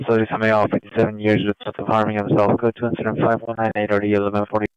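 Low, flat, brisk man on police radio. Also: smooth, dry recording. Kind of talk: clipped radio call details. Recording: dull band-limited police radio.

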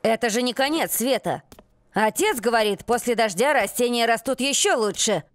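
A woman's voice: a little high, expressive, normal speed, no room sound, lightly acted. A woman playing an upbeat, caring young boy in a cartoon dub. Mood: encouraging. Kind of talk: animated series dub acting.

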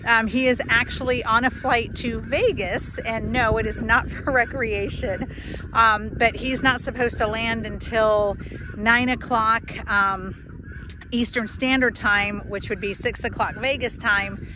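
A woman's voice: fairly high pitch, fairly even intonation, measured pace, noisy background. A woman, chatting casually to a phone at arm's length on her farm, with plenty of ums and restarts. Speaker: homestead vlogger. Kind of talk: casual update to camera. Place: outdoors on a grassy farm. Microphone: phone held at arm's length.

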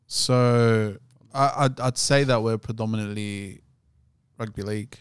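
A man from Sydney with an Australian accent, a podcast co-host telling stories in a relaxed, casual way. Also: lowish voice, a little slow, smooth, dry recording, studio quality.

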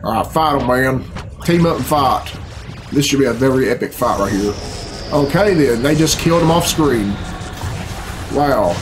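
A man's voice: mid-range voice, lively intonation, moderate pace, pauses often. Sarcastic man reacting over game music. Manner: sarcastic, bemused grumbling. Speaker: reaction video host. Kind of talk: casual, profane reaction commentary over game cutscenes. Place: small bedroom, indoors. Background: video game cutscene music.

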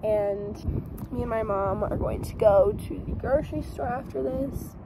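A woman's voice: on the high side, normal speed, noisy background. Chatty teen girl vlogging on her phone. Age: teens. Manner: chatty, rambling, upbeat, lots of "like" and "y'all". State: losing her voice. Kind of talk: casual vlog chat. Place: bedroom at home, sometimes outdoors or in a car. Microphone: phone camera held close.

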